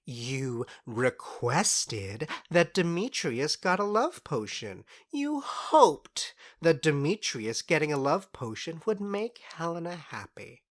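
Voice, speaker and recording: Low-voiced, lively, mischievous fairy trickster. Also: female voice, takes it slowly, few pauses, exaggerated voice. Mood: smug, defensive. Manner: theatrical, teasing, savouring words. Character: mischievous fairy trickster, sly and smugly unrepentant. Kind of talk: modern audio drama acting.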